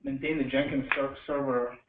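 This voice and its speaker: A man's voice: mid-range voice, flat delivery, recorded from a distance. Man presenting in an echoey, tinny room. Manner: joking, halting, asks the audience questions. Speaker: meetup host introducing speakers. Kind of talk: informal live presentation to an audience. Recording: echoey room, tinny band-limited sound, faint noise.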